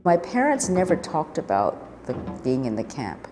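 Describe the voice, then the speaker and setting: A woman in her seventies speaks calmly and reflectively in an interview. Her voice has a long, hall-like reverb, and music plays clearly underneath it. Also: sits low, moderate pace. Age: 70s. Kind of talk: documentary interview.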